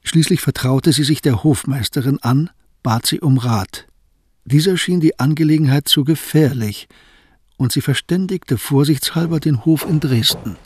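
A man narrating a historical radio drama in a measured, sober way. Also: on the high side, slightly rough, no room sound. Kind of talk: scripted narration. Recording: dry close recording with music.

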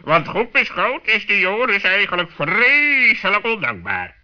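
A man's voice: sits high, very animated, a little slow, voice pushed up. A man voicing a suspicious, sensible raven in a children's radio play, indignant and matter-of-fact. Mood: grumbling. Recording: muffled vintage 1960s radio recording.

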